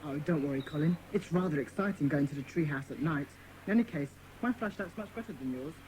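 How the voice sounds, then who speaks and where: A woman playing a confident, bossy schoolboy who leads a children's club, brisk and authoritative, in an audio drama. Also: deep voice, lightly acted. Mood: calm, confident. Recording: clear background noise.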